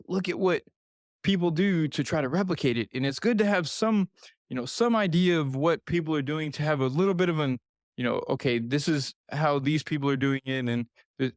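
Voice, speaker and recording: Thirties male podcast host, earnest coaching delivery, slightly high voice, dry close mic. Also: dry recording. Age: 30s. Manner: earnest, repetitive, speaks directly to listener. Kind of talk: solo podcast advice talk.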